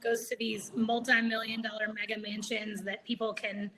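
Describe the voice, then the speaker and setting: A woman's voice: a little high, flat delivery, normal speed, a bit bright, poor audio. A woman moderating an online video-call panel, chatty, with many filler words.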